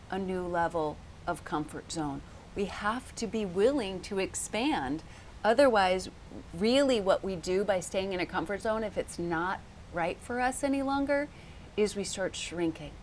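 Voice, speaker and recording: A woman with a medium-pitched voice hosts a coaching conversation. She guides the listener in an encouraging, deliberate way, over noticeable ambient noise. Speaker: podcast host and coach. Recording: clear background noise, ambience.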